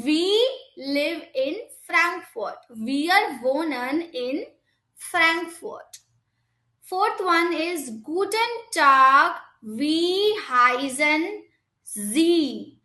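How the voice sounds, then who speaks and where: A woman with an Indian English accent teaching a beginner German class. Her voice is high and bright, and she speaks very slowly, modelling each sentence carefully. The recording has a noticeable room echo. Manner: slow deliberate model sentences then quick English glosses. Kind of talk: language lesson explaining exercises.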